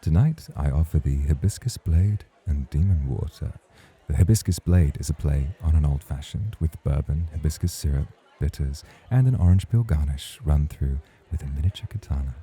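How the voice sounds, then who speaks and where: An extremely low, very dark and mellow male voice with a husky edge , acted by a man. He is a self-assured star nightclub host in a romantic ASMR roleplay, courtly and playfully provocative with the listener. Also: normal speed, exaggerated voice. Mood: charming.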